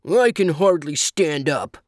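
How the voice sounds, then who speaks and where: A woman's voice: fairly low pitch, expressive, a little slow, a little mellow, dry recording. A cross, clumsy male donkey from a children's tale, acted out by a woman reader. He complains indignantly and grumbles his way through every line. The recording is close and dry. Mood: angry, grumbling. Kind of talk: children's storybook character reading.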